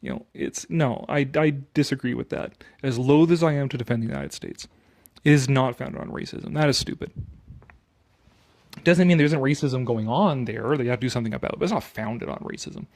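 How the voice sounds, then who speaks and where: A man's voice: medium pitch, very animated, brisk, darkish tone. A Canadian man giving casual, opinionated commentary on an article he reads aloud, sarcastic, exasperated and given to swearing.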